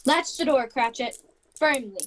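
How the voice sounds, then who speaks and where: A woman's voice: high voice, expressive, unhurried, slight background noise. A woman playing a cold, miserly, grumpy older female boss in an amateur radio play, curt and scornful. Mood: barking, impatient.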